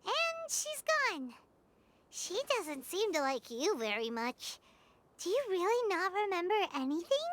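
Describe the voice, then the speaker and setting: A tiny, outspoken game sprite with an extremely high, squeaky, childlike voice. It is bright and swoops up and down. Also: female voice, wildly swooping pitch, a little slow, a little hiss or hum, full character voice. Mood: concerned. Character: small childlike floating companion sprite, chirpy and outspoken. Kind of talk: video game voice acting.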